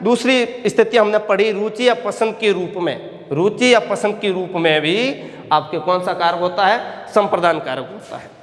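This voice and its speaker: A male teacher giving a grammar lesson, dictating examples slowly, repeating phrases and answering his own questions. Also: sits high. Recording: echoey room with long reverb.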